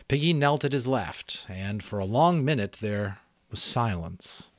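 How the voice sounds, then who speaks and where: A man hosting and narrating a book aloud, theatrical and expressive, with casual asides. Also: medium pitch, measured pace, no echo, studio quality. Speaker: audiobook reader and host.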